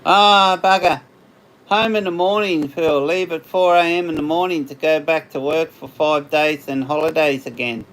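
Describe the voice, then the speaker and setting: An Australian man hosting a casual livestream, chatting with viewers in a rambling way, his voice slightly hoarse after hours of talking. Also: a little high, fairly even intonation, moderate pace, a bit bright, poor audio. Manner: rambling, chatty, laughs often. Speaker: livestream host. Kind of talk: casual live chat with viewers.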